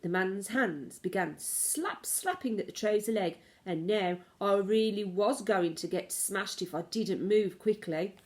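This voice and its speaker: A woman reading a children's story aloud like a teacher, in expressive narration with dramatic, varied emphasis. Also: mid-range voice, a little slow, hardly any pauses.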